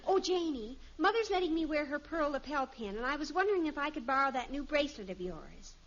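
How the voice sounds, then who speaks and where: An actress playing a lovestruck, dramatic teenage daughter in a 1950s radio sitcom, with a gushing, theatrical manner. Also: female voice, sits high, expressive, a little fast, fairly bright tone. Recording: muffled, hissy old radio recording.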